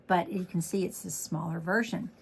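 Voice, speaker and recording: Chatty woman crafter, voice a bit hoarse. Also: lowish voice, fairly even intonation, normal speed. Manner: chatty, walks through each step. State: losing her voice, throat clearing. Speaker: card-making demonstrator. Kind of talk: casual crafting tutorial.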